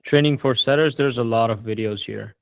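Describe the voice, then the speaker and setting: A man hosting a casual group video call, relaxed and hesitant, often restarting his sentences. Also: mid-range voice, fairly quick, vocal fry, clean tone, dry recording. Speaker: call host answering members' questions.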